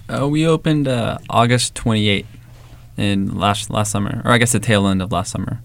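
A man's voice: lowish voice, normal speed, gravelly. A young man from the Valley, co-owner of an ice cream shop, telling stories casually with lots of fillers and restarts. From Los Angeles, San Fernando Valley. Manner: relaxed storytelling, many fillers and restarts. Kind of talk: casual interview answers.

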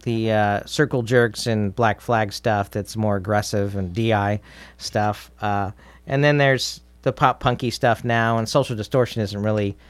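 Low-voiced man chatting casually, close dry sound. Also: fairly even intonation, measured pace, dry recording. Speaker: musician guest on a radio show. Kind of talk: casual interview chat.

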